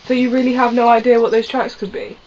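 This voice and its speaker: A woman's voice: fairly high pitch, level tone, fast, a little mellow, slight background noise, natural voice. A woman playing a curious young companion who questions everything with a light sarcastic edge. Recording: lo-fi fan audio drama.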